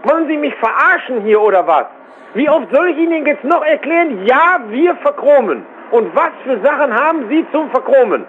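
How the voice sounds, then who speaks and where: A very high-voiced man talks bluntly and with exasperation on the phone, pressing his questions again and again. His pitch swings in a lively way. The line is thin and tinny. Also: moderate pace, a little hiss or hum. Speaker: chrome-plating tradesman receiving a call. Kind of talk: spontaneous phone conversation.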